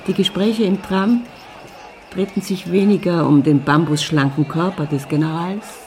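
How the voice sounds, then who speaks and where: A sardonic storyteller narrates a rough urban radio drama. His voice sits fairly high with a gravelly creak, against clear background noise. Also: normal speed, darkish tone. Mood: wry narrating. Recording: clearly noisy outdoor ambience.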